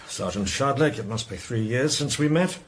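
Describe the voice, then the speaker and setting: A man's voice: medium pitch, animated, normal speed, a little hiss or hum, lightly acted. A man playing a grave, courteous Tudor archbishop in a radio drama, formal in speech.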